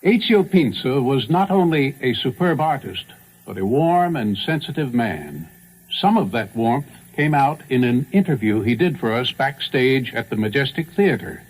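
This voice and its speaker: A man's voice: mid-range voice, big pitch swings, moderate pace, rough recording. Male radio host presenting a music program. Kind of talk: scripted broadcast narration.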